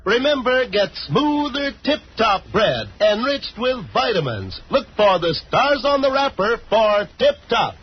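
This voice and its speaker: A muffled old radio recording carries a male announcer in 1940s style. His high voice swoops emphatically and theatrically, yet stays smooth-edged in its sales and serial patter. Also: sing-song, unhurried, few pauses.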